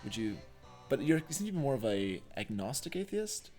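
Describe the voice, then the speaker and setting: A man's voice: medium pitch, big pitch swings, brisk, darkish tone, soft background music. A man co-hosting a podcast, talking in a casual but earnest way. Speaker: podcast co-host.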